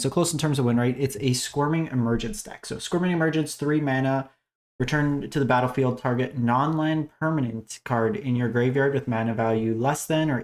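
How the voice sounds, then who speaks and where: A man hosting a casual game strategy breakdown, his voice raspy as he is losing it, talking in a conversational way with many fillers. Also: mid-range voice, a little mellow. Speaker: host. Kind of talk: casual gaming commentary.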